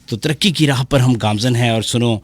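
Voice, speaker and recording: A man's voice: medium pitch, dry recording. A man hosting a live radio show, chatting casually and playfully as he takes calls and messages. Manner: playful, chatty, breaks into bits of song. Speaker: radio host taking calls and reading messages. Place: radio studio.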